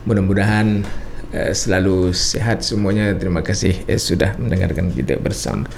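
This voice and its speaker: A male guest with a Malay accent speaks politely and unhurriedly, with hesitant fillers, in a low, gravelly voice. A faint room ambience sits behind him in the studio. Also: normal speed, noisy background. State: unwell with acid reflux. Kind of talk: casual radio interview. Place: radio studio.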